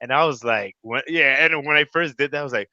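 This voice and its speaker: A man's voice: mid-range voice, a little fast, sharp tone, no room sound, lo-fi sound. A man who acts in an audio drama, chatting casually about his role. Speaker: voice actor, cast member. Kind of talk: casual chat.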